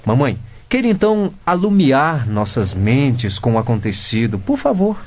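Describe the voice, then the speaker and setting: A man's voice: medium pitch, very animated, normal speed. A man playing a cheeky, officious teenage boy who questions people like a detective in a comic radio drama. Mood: officious, probing. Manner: mock-formal, inquisitive.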